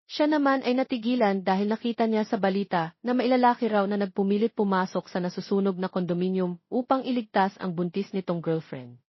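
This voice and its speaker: A woman's voice: medium pitch, fairly quick, dry recording, muffled recording. A woman reading a scripted romance story as narrator, in a close voice-over.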